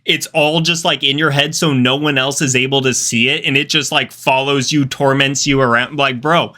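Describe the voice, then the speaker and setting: A man's voice: mid-range voice, fairly even intonation, moderate pace, sharp tone. A young man giving casual reaction commentary, slangy, incredulous and rambling. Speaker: reaction video host.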